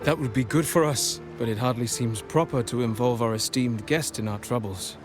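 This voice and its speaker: A man's voice: medium pitch, normal speed, natural voice. A man voicing a polite noble merchant. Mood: earnest. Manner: polite, formal, smooth persuasion. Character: courteous noble merchant family man, scheming. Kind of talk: game cutscene voice acting. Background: game music.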